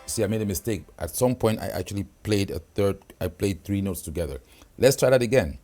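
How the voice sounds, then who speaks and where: A man with a low, mellow voice walks through keyboard features as a product demonstrator. He explains step by step and keeps checking in with the listener. Faint music plays behind him. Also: fairly quick. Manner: explanatory, checks in with "okay?" and "right?". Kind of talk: hands-on keyboard tutorial.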